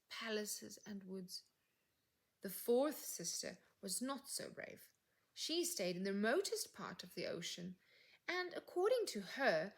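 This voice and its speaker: A woman reading a fairytale aloud as a storyteller, with deliberate, expressive phrasing and soft, breathy word endings. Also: medium pitch. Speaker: English teacher reading stories.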